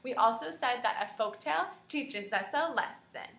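Young woman, kindergarten teacher, high bright voice, encouraging, deliberate articulation, read-aloud lesson, echoey room. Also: lively intonation, gravelly. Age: young adult. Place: small room at home, indoors. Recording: roomy, echoing home video.

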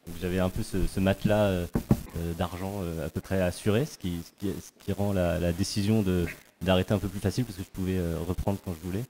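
A man's voice: low-pitched, fairly even intonation, quick pace, warm tone, slight background noise. A man on a festival panel explaining his path from teaching to making videos, thoughtfully and with many fillers. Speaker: panel guest, video creator. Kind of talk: casual panel talk.

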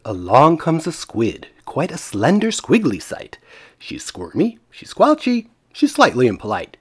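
A man reading a children's picture book aloud as an expressive, husky-voiced narrator. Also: fairly high pitch, a little slow.

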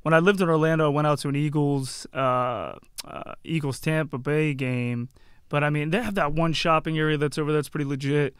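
A man talks casually, like a guest telling stories on a podcast. His voice sits a little high and is very smooth and clear. It is recorded close and dry on a studio mic. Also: fairly even intonation, brisk, no echo. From Yardley, Pennsylvania and New Jersey, USA. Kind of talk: casual chat, anecdotal. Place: small curtained podcast studio. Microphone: close broadcast mic on boom arm.

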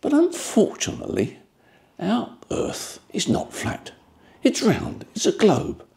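A man, explaining things informally to camera in a measured way with rhetorical asides. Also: high voice, wildly swooping pitch, vocal fry, a little mellow. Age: 70s. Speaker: ham radio channel presenter.

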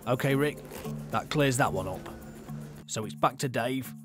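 A man's voice: mid-range voice, a little slow. Male documentary narrator over music. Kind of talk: scripted narration. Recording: clear music bed behind.

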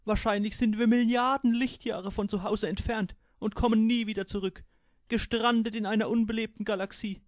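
A man's voice: sits high, fairly even intonation, normal speed, no room sound, voice pushed up, close to an everyday voice. A man voicing a pompous, gloomy male navigator who lectures his crew in a solemn tone.

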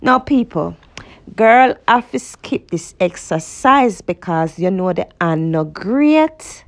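Slow gravelly Jamaican woman. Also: on the low side. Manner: calm, grateful, then chatty. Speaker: vlogger. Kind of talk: reflective narration and exercise chat. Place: outdoor park gym.

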